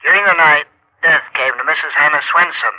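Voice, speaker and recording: Measured, formal 1940s news announcer, somewhat high voice coming thinly out of a radio in an old drama. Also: male voice. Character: formal local radio newscaster reading morning bulletin. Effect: heard over a radio set. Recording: tinny narrow-band old radio recording.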